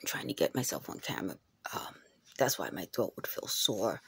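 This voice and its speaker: A woman's voice: very deep voice, very animated, normal speed, vocal fry, fairly bright tone, breathy edge, dry recording, whispered. A middle-aged woman who has just woken up, with a scratchy throat, talking earnestly and repetitively into a phone camera at home. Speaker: vlogger. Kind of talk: rambling to-camera monologue. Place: indoors at home. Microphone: phone camera held close.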